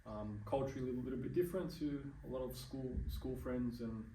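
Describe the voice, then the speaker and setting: A man's voice: mid-range voice, fast talker, dark tone, echoey room, far from the mic, slight background noise, rough recording. A young adult Australian man in an interview, speaking earnestly and measuredly, with frequent ums and restarts. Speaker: interview guest. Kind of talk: casual interview chat.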